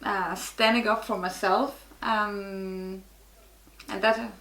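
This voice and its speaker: A woman's voice: medium pitch, little pitch movement, slow pace, a bit bright, echoey room. A middle-aged woman from Norway with a Norwegian accent, speaking English as a guest in a casual interview, frank and often restarting her sentences. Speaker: guest, network marketer.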